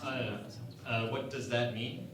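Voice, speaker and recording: A man's voice: mid-range voice, fairly even intonation, takes it slowly, close to an everyday voice. An actor playing a flustered, defensive starship crewman in a sci-fi parody radio play, speaking hesitantly. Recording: echoey room, distant mic, loud noise.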